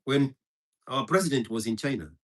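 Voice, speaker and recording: A man's voice: medium pitch, normal speed, fairly bright tone. A man from Kenya, a Kikuyu speaker, delivering a heated, profane monologue full of hammering repetition. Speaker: commentator. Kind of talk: impassioned monologue.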